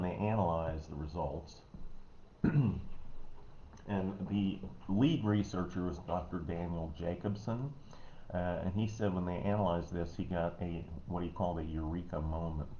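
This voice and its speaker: A man with a Missouri American accent, giving a casual health talk online in a folksy, rambling way, often clearing his throat. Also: low voice, mellow. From Missouri, United States. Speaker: host, health educator. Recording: dull, roomy, noisy home recording.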